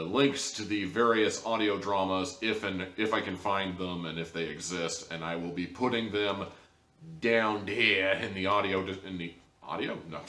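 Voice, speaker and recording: Low-voiced man in an echoey room. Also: bright, forceful tone. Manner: chatty, informal, emphatic asides. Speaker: host and reviewer of audio dramas. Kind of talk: casual review monologue. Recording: roomy, echoey sound.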